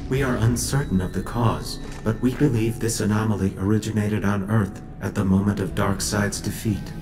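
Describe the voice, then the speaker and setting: A man voices a calm, solemn male alien sage for a video game. He speaks formally and gravely in a lowish voice, with music clearly underneath. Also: natural voice. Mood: grave, explanatory. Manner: formal, measured, serious. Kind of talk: video game cutscene acting.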